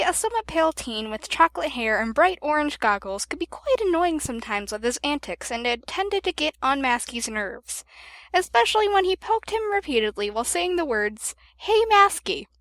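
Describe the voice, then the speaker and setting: A woman narrates a scripted story in a high voice. Her intonation moves around a lot, and the recording is dry and close. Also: big pitch swings, brisk, fairly bright tone, no echo. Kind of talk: scripted narration of fan fiction.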